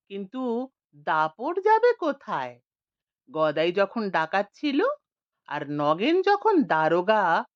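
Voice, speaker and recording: A woman narrating a scripted audiobook story with an expressive, swooping storytelling delivery. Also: mid-range voice, no echo, highs cut off.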